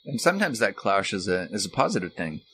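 A man's voice: fairly low pitch, sing-song, fast talker, sharp tone, a little hiss or hum. A middle-aged or older man chatting casually as a co-host, rambling and repeating words as he goes. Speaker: co-host, author and former radio recording engineer. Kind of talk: casual unscripted conversation.

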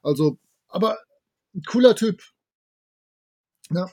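Male podcast co-host, voice on the high side, enthusiastic and chatty, informal fan talk. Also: expressive, takes it slowly, darkish tone. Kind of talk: casual fan podcast chat about audio dramas.

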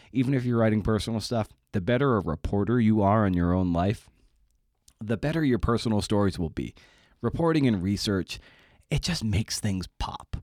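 A man hosts his own podcast in a relaxed, joking way, ribbing himself as he runs through plugs. His voice sits on the low side. The sound is dry, with no room around it. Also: no echo. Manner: chatty, wry and self-deprecating, with asides. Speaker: podcast host. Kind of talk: casual solo podcast intro.

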